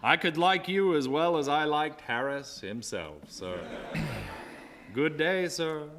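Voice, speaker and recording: A man's voice: fairly high pitch, expressive, slow. Genial madman with theatrical, swooping delivery. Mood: courtly, affectionate. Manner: courtly, formal, fond, theatrical storytelling. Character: genial mad old congressman, cheerfully recounting cannibalism, courtly and earnest. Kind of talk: live radio drama acting. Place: theater stage before a live audience. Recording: reverberant live theater stage, audible noise.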